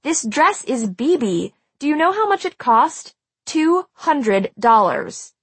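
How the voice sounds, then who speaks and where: A woman voicing a spiteful, sneering teenage mean girl in an audiobook, taunting and shrill when angry. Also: slow, slightly rough, dry recording. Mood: shrill outrage. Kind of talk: audiobook character dialogue.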